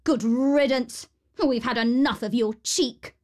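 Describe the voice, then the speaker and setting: A woman's voice: mid-range voice, lively intonation, slow. A woman playing a bossy, scornful stepmother. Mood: indignant. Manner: sharp, commanding, exclamatory.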